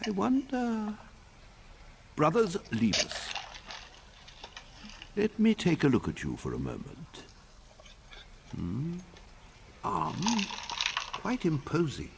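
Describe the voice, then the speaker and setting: An actor playing a stern elderly abbot and protective father in a video game, with a grave, gravelly voice. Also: a little high, wildly swooping pitch, slow, long pauses, a little hiss or hum, exaggerated voice. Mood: appraising.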